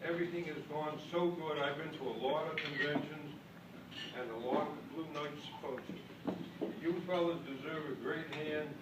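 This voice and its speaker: An older man with a Maine accent tells a story to a convention crowd in a folksy, self-deprecating way. His voice sits a little high. He is heard from a distance in an echoey hall, with loud noise underneath. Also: fairly even intonation, normal speed. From Boston. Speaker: speaker at a club convention. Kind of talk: after-dinner speech, storytelling. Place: large hall with an audience.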